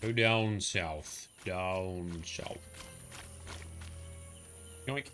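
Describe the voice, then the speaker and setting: A man's voice: low-pitched, drawn-out words. A man giving chatty, rambling live commentary while he plays a game and talks to his chat. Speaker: streamer. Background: faint game music.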